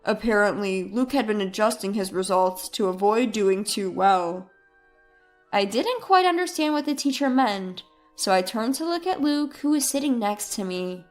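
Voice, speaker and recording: A woman's voice: mid-range voice, lively intonation, normal speed, faint music behind. A woman reading a scripted first-person story as its narrator.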